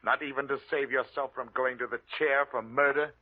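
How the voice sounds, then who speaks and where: An actor playing a smug, scheming adopted brother who taunts and insinuates, in 1940s radio drama style. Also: male voice, medium pitch, fairly even intonation, brisk, close to an everyday voice. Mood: oily, threatening. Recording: muffled narrow-band old radio recording.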